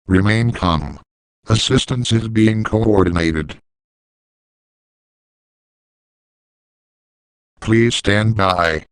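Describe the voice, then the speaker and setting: Low, clear man acting as emergency broadcast announcer. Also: no room sound. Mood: grave, controlled. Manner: measured, official, deadpan. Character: calm, stern 1980s male civil defense emergency announcer.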